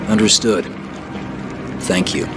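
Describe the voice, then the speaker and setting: An actor playing a determined, earnest young resistance leader in a video game cinematic. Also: male voice, slow pace. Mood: earnest, steady. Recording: loud music and engine rumble behind.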